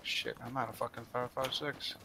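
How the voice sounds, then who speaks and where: A man's voice: mid-range voice, flat delivery, normal speed, gravelly, heavy background noise. A man making casual, blunt and often profane team callouts while playing an online game. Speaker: gamer in a squad stream.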